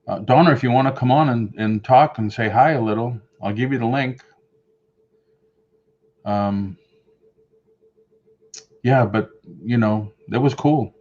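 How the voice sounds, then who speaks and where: A middle-aged man with a Boston accent talks loosely and chattily to his viewers, reading out their comments as he goes. His voice sits on the low side. The webcam audio is dull and lo-fi, recorded in a room at home. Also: darkish tone. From Boston area. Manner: rambling, chatty, reads and answers viewer comments. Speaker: live stream host. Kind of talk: casual live-stream chat. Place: home room with shelves of collectibles.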